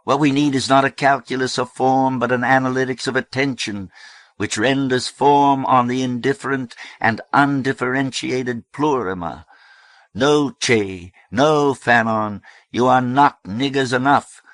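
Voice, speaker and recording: A man puts on a fiery lady preacher in full oratory. The voice is loud and lifted well above the reader's usual pitch. Every phrase is declaimed forcefully, with a dry, close sound. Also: a little slow, no room sound, lightly acted. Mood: impassioned. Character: fiery woman preacher orating in dense abstractions. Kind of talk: audiobook character voice.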